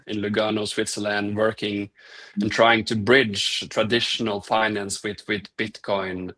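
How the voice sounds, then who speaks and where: A man's voice: fairly low pitch, sharp tone. A man moderating a live Q&A, politely passing questions to each guest by name.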